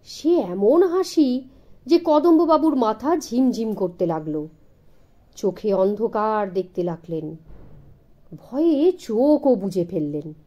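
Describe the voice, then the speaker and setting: A woman narrating a children's story with dramatic expression and deliberate pauses. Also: on the high side, animated, dark tone.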